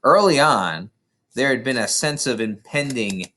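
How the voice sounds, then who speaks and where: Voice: mid-range voice, animated, unhurried, sharp tone. A reader narrating a children's novel aloud expressively, occasionally stumbling over words. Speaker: storybook reader.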